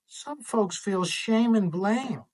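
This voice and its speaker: A man's voice: sits high, takes it slowly, darkish tone. A man reading a children's picture book aloud expressively as its author.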